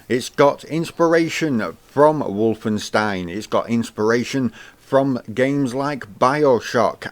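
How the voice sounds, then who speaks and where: A man narrating a scripted video game review. He opens each phrase high and lets it drop at the end. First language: English. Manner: lively delivery, pitch rising at the start of each phrase and dropping at the end.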